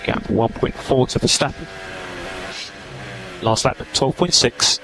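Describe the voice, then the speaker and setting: A man's voice: mid-range voice, measured pace. Clipped race engineer man over noisy radio. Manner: clipped, matter-of-fact, reading out numbers. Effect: team radio. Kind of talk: terse technical radio instructions to a racing driver. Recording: noisy team radio, engine and car noise.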